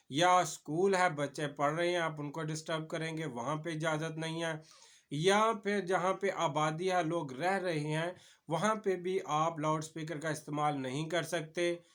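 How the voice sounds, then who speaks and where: A man's voice: a little high, a bit bright. A man with a Pakistani accent giving an informal explanatory lecture as a lawyer, addressing the viewer directly and mixing in English legal terms.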